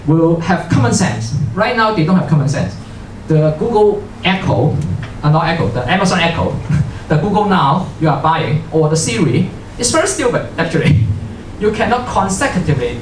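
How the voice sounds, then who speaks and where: A middle-aged man giving an informal talk at a tech meetup, halting, with many restarts and fillers, chuckling at his own points, on a handheld mic before a seated audience. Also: fairly high pitch, slight background noise. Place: lecture room with seated audience. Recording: roomy hall audio from far off.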